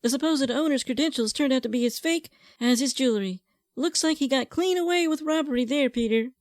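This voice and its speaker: A woman's voice: sits high, fairly quick, darkish tone, no echo, close to an everyday voice. A woman playing a composed local news anchor reading stories in a parody newscast. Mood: matter-of-fact.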